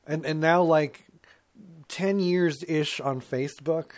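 A man's voice: on the high side, steady tone, slow. A middle-aged man co-hosting a talk show in casual comic chat, rambling and self-mocking, slipping into put-on voices to quote himself.

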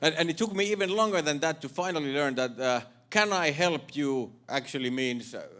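A man from Finland doing stand-up with a Finnish accent, halting and deadpan, stumbling over repeated words. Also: a little high. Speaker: stand-up comedian. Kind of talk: stand-up set. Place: comedy club stage before laughing audience. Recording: loud crowd laughter and noise.

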